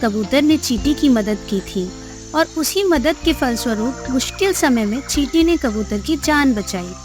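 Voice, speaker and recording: A woman's voice: sits high, expressive, measured pace, over music. A woman narrating a scripted moral story for young children.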